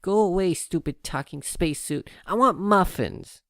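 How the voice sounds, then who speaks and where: A man voicing a naive, excitable little pony filly in an audio story, with childlike, simple phrasing. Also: high-pitched, normal speed, no echo, clean studio sound, voice pushed up. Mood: petulant. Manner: childlike, bouncy, simple baby-talk phrasing.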